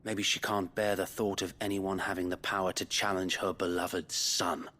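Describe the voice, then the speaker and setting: An actor playing a loyal, aging British knight who leads a rebel band in a video game, speaking with formal, archaic earnestness in a weathered voice. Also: male voice, deep voice, level tone, normal speed, pushed and bright. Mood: bitter, blunt. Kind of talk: dramatic video game voice acting.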